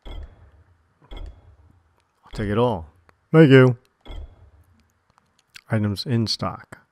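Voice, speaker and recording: A man casually commenting while playing a game, musing and joking in slow asides. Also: medium pitch, a little mellow, no echo. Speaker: game streamer. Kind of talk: casual let's-play commentary. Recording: voice over game music.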